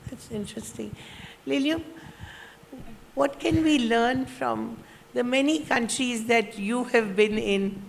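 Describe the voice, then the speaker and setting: A woman's voice: a little high, slow, gravelly, long pauses. A woman moderating a panel on stage, putting short questions. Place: large indoor hall at a summit. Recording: reverberant hall with faint background noise.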